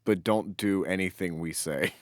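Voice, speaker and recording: Male podcast co-host, lowish voice, playful and emphatic chatter, dry close sound. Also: moderate pace, no room sound. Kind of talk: casual comedic banter.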